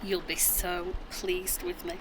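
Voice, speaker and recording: A woman's voice: lowish voice, takes it slowly, a bit bright, slight background noise, poor audio. A middle-aged woman playing a defensive, practical mum in an audio drama, with a Yorkshire accent and matter-of-fact delivery. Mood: coaxing. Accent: Yorkshire English. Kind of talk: naturalistic audio drama acting.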